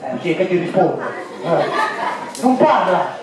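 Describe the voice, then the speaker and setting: A man's voice: fairly high pitch, big pitch swings, takes it slowly. Clowning man in echoey outdoor show. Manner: clowning, loud and exclaiming. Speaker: entertainer. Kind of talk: live comic stage show for children. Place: outdoor holiday camp stage. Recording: echoey, far from mic, with crowd noise.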